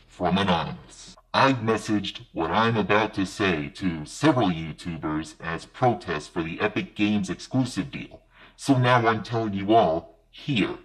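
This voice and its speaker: A man reading as a low, aggrieved studio insider. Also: poor audio. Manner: reading a written post aloud, steady and even. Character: angry anonymous male game studio insider.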